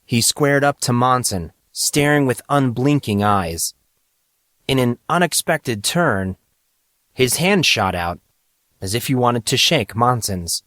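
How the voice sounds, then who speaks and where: A man reading scripted fantasy fiction as an audiobook narrator, with deliberate, dramatic storytelling. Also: mid-range voice, lively intonation, fairly bright tone, no room sound, studio quality.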